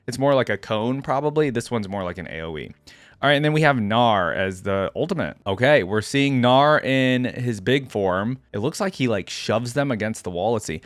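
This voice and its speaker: A man with a mid-range voice hosts a casual video game reaction. He talks conversationally, thinking out loud and slipping asides into what he reads. The sound is dry, with music playing behind him. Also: medium pitch, no echo. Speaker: YouTube reaction host.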